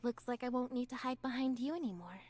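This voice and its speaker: An actress playing a calm, deadpan young female magician's assistant with a robotic mindset in a video game. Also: high-pitched, fast, lightly acted. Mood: cool, composed. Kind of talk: scripted video game character lines.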